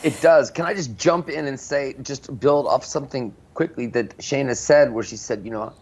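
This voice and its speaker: A man's voice: medium pitch, normal speed, darkish tone. A man co-hosting a live English-teaching show, explaining things in an encouraging, emphatic way.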